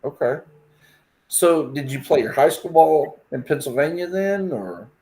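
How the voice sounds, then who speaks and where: A man's voice: fairly high pitch, expressive, a little slow, mellow, low-quality recording. A man hosting a sports scouting interview, asking questions in an easygoing way and acknowledging answers with "okay".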